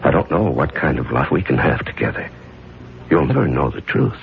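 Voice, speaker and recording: Dull old radio drama: menacing, tormented husband, very low creaky voice, wildly swinging delivery. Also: male voice, big pitch swings, a little hiss or hum, heavily acted. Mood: regretful. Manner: dramatic, deliberate, self-questioning, swinging in intensity. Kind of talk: 1970s radio drama acting. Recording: dull 1970s radio recording with faint music.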